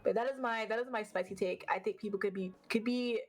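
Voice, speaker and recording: A woman with a deeper voice and a slight stutter, chatting fast and bluntly as an interview guest, swearing freely. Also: fairly even intonation, soft background music. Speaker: vtuber guest. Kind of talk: casual interview chat.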